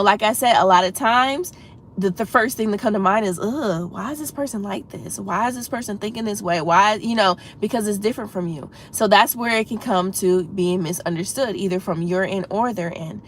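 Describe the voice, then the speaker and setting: Woman chatting on a livestream, stuffy froggy voice from a cold, lots of "you know", faint rumble behind her. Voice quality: slightly froggy voice. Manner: earnest, encouraging, talks straight to chat with many "you know"s. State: congested with a head cold. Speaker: livestream host. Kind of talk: casual livestream chat.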